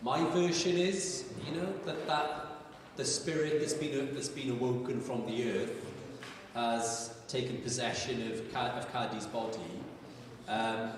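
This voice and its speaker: A man's voice: medium pitch, noisy background. A filmmaker answering audience questions at a live Q&A, thoughtful and searching for his words. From Wales. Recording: echoey hall, voice from far off.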